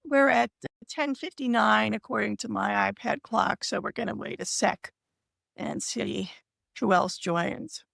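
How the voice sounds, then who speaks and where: Woman host with a scratchy, gravelly voice. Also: medium pitch, fairly even intonation, unhurried, fairly bright tone, no echo, clean studio sound. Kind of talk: casual live chat hosting.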